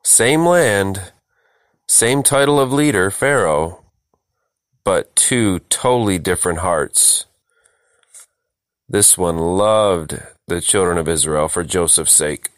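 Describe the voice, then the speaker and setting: A man's voice: low voice, takes it slowly, pauses often, no echo, clean studio sound. A man leading a verse-by-verse Bible study, reading scripture and explaining it emphatically, repeating phrases for stress. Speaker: Bible teacher, host.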